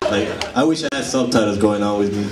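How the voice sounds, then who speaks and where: A man's voice: fairly low pitch, fast, very noisy. Young Puerto Rican man doing stand-up. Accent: Puerto Rican Spanish. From Puerto Rico. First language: Spanish. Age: young adult. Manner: joking, playful crowd work. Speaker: stand-up comedian. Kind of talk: stand-up comedy set. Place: comedy club stage. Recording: echoey live room from a distance. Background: laughing audience.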